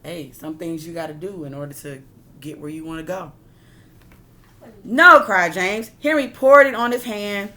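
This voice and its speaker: A woman's voice: fairly low pitch, normal speed, bright, forceful tone, slight background noise. A woman reading a picture book aloud like a teacher, expressively, with casual asides that explain and question her listeners.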